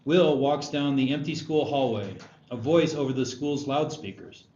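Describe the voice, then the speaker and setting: A man's voice: mid-range voice, moderate pace, darkish tone. A man reading stage directions as narrator at a screenplay table read. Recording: dull echoey room, noisy.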